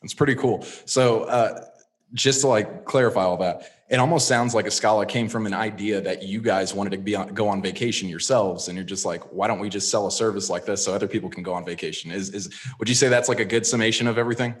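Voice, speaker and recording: Lower-voiced man hosting a podcast. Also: steady tone, fast. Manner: upbeat intro, then casual questions with fillers. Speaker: podcast host.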